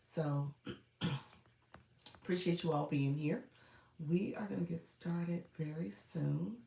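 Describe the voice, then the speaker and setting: A woman coaching viewers on live streaming in a casual, chatty way, congested from a cold with a froggy voice, often clearing her throat and using fillers. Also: lowish voice, slow pace, mellow. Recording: roomy echo.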